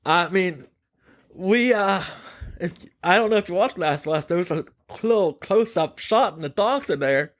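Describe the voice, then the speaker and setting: A man's voice: sits high, expressive, measured pace, no room sound. A man hosting a casual podcast, giving his opinions emphatically and swearing casually. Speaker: podcast host.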